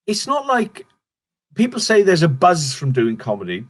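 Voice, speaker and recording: Middle-aged Welsh man, comic, higher voice, loose rambling storyteller. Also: huge pitch swings, moderate pace. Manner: rambling, restarts sentences, swears casually. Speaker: stand-up comedian guest. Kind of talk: casual interview chat.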